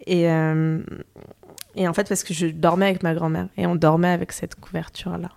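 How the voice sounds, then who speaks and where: Reflective young French woman, low voice. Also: little pitch movement, moderate pace, creaky, a little mellow. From France. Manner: reflective, many fillers and restarts, thinking aloud. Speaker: photographer and artist, interview guest. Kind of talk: casual interview conversation.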